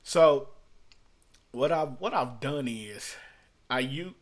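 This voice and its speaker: A middle-aged man teaching an unscripted software tutorial as a music producer, conversational and repeating his points for emphasis. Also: mid-range voice, lively intonation, takes it slowly. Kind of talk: informal screen-share tutorial, unscripted. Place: small room at home.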